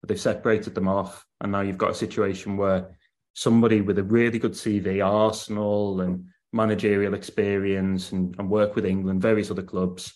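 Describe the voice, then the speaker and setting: A man's voice: lowish voice, level tone, normal speed, a little mellow. A man, a football writer on a podcast, talking through his analysis at length in a casual chat. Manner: analytical, weighs points at length.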